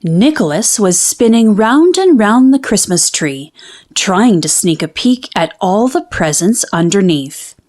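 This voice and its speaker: A woman reading a children's picture book aloud in an expressive, narrated storytelling style. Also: medium pitch, measured pace.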